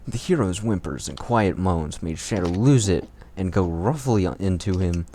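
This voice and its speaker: A man's voice: sits low, expressive, moderate pace, dark tone. A man reading fan fiction aloud in a casual comedic way, amused, swearing and breaking off to comment. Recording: noisy home recording with clicks and typing.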